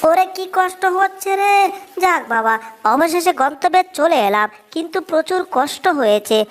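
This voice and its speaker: Cartoon dub role: a little scheming mouse, very high-pitched, whiny and greedy. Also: lively intonation, moderate pace, fairly bright tone. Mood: weary, whining. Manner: whining, exclamatory, self-narrating. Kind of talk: Bengali comedy cartoon dub.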